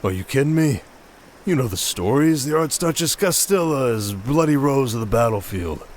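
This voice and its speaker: A man's voice: mid-range voice, expressive, moderate pace, slight background noise, lightly acted. A man playing a chummy, scheming oni aide in a fantasy audio roleplay, griping in casual, colloquial talk. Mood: eager, scheming.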